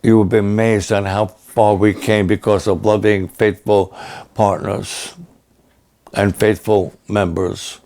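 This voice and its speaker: An older male pastor with a raspy, hoarse voice, talking plainly and haltingly to his supporters. He often pauses and repeats himself. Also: fairly low pitch, level tone, a little mellow. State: hoarse after illness and a long program. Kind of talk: slow personal update to supporters.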